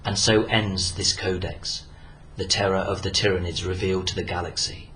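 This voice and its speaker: A man's voice: sits low, steady tone, moderate pace, slight background noise, rough recording. A man narrating a scripted war story in a solemn, portentous, dramatic manner. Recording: loud music under the voice.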